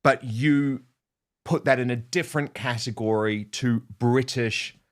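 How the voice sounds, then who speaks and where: A male host steers a political panel, putting leading questions and affirming his guests' answers. His voice is mid-pitched for a man. His manner is earnest and encouraging. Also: unhurried. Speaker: show host. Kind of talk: political talk show hosting.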